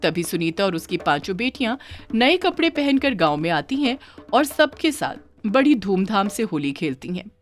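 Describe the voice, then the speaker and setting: A woman narrating a scripted children's moral story with dramatic, expressive delivery. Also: medium pitch, normal speed, faint music behind.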